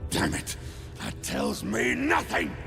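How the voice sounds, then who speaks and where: Gravelly hobgoblin warlord for a game, slow n wildly swingy, impatient, music behind. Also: male voice, sits low, huge pitch swings, heavily acted. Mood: furious, frustrated. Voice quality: gravelly growl. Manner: bellowing incantations, rough blunt speech. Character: brutish male hobgoblin war leader, commanding and impatient. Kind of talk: video game character acting.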